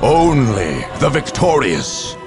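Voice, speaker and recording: A man's voice: medium pitch, huge pitch swings, takes it slowly. A man delivers grave, theatrical scripted narration in the portentous style of a video game cutscene. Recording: loud music under the voice. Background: loud music.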